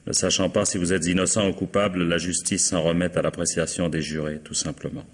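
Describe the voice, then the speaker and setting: Deep, husky, weary accused widower. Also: male voice, flat delivery, brisk, long reverb tail. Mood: weary, resigned. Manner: polite, resigned, wry. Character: weary, courteous middle-aged widower accused of murdering his wife. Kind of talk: 1950s French radio drama acting.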